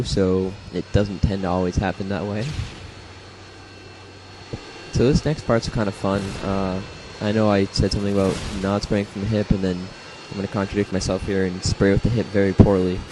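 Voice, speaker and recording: A young man, slightly hoarse from a congested throat, rambling casually through his own match footage with many fillers and self-deprecating asides. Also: fairly low pitch, flat delivery, a little fast, noisy background. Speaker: gameplay commentator. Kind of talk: casual gameplay commentary.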